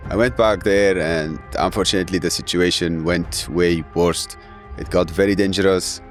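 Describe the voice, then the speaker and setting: Deep-voiced man, earnest and halting. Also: fairly even intonation, soft background music. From Syria. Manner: earnest, halting, with frequent fillers and restarts. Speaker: guest. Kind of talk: emotional interview.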